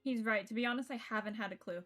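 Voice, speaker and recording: Female streamer narrating a visual novel, higher register, reactive and conversational, game music behind her. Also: fast talker. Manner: expressive, reactive, slipping into asides. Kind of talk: live stream read-aloud of a visual novel with casual reactions.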